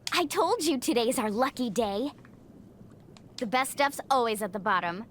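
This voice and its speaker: A woman's voice: on the high side, lively intonation, moderate pace, a little hiss or hum, close to an everyday voice. A woman voicing a perky, bubbly young girl character in a video game. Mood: excited.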